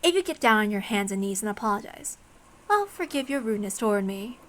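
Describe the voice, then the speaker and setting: A woman's voice: mid-range voice, sing-song, fast talker, slight background noise, close to an everyday voice. A woman voicing a cruel, condescending demon examiner in a story reading, taunting with mocking, coaxing questions.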